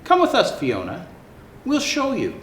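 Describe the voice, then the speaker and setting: A man acts out an excited zoo animal from a picture book. The voice leaps up and down with eager, gushing friendliness, in an echoey room. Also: high voice, a little slow, exaggerated voice. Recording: roomy echo, faint background noise.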